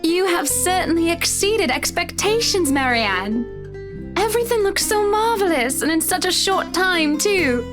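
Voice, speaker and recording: A woman's voice: very high voice, very animated, a little slow, music in the background, heavily acted. A woman voice acting a polite, proper mistress of a mansion in a visual novel. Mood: gracious. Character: genteel, proper lady of a mansion.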